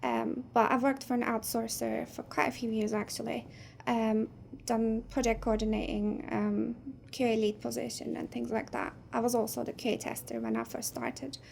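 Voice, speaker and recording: A woman in her thirties whose first language is Turkish, speaking as a guest in a casual interview, soft-spoken and thoughtful with frequent pauses. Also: on the high side, creaky, a little hiss or hum. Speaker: guest, game producer.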